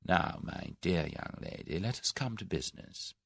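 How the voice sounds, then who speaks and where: A man reading the part of a large, smooth-talking, scheming businessman in an audiobook, measured and persuasive. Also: deep voice, very animated, fairly bright tone, no room sound, clean studio sound, heavily acted.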